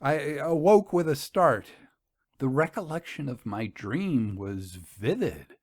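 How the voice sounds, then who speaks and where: A man's voice: medium pitch, expressive, slow, no echo, clean studio sound. A middle-aged man giving a dramatic reading of a gothic first-person tale, grave and sorrowful, his voice rising in anguish at its peaks. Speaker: narrator.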